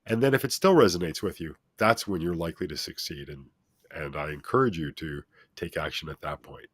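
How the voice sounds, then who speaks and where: A middle-aged or older man with a deep, authoritative voice, speaking as a motivational guest and addressing listeners in an emphatic, instructive way. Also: brisk, fairly bright tone, husky, no echo. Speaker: talk show guest, self-help speaker. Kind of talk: motivational interview talk, speaking at length.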